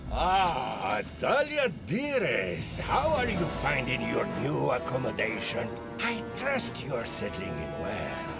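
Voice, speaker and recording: An actor playing a smug aristocratic villain in a video game, silky, theatrical and mockingly polite. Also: male voice, medium pitch, huge pitch swings, slow, gravelly, loud music behind the voice, exaggerated voice.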